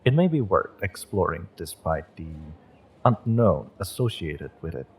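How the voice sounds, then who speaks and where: A man playing a gentle, encouraging magick shop owner and tarot reader in an intimate audio roleplay, speaking in a measured, mystical, reassuring way. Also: low-pitched, sing-song, breathy edge, exaggerated voice.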